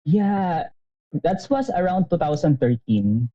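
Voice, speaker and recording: A man in his thirties tells his story as a podcast guest, with a very dark, mellow voice. He talks animatedly, laughing often and restarting his sentences. The audio sounds dull and muffled. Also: a little high, very animated, slow pace. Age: 30s. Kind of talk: casual interview storytelling.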